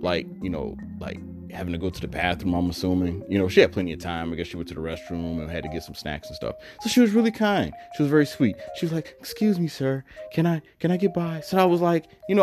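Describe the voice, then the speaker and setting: A man vlogging, telling a rambling personal story full of asides and fillers in a voice he calls bassy. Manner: animated, digressive, many fillers and asides; self-described bassy voice.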